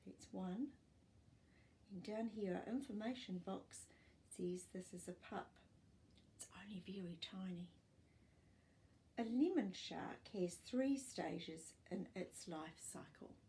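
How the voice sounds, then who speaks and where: An older woman hosting a children's storytime, reading non-fiction aloud with expressive, clear phrasing and explanatory asides for young listeners. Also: medium pitch, takes it slowly, pauses often, a little hiss or hum. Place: small furnished living room, indoors.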